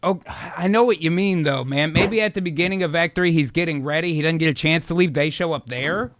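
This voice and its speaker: Higher-voiced man, smooth and clear. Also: fairly quick, a bit bright. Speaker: voice actor co-writing an improvised film story. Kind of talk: casual brainstorming chat.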